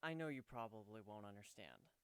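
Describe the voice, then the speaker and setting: A man's voice: lowish voice, expressive, a little fast, clear, resonant tone, no echo, voice pushed down. A man voicing an earnest, bashful pegasus stallion, hesitant and sincere, in a dramatic fan fiction reading. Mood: sincere, loving. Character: earnest, bashful male pegasus pony, devoted fiancé.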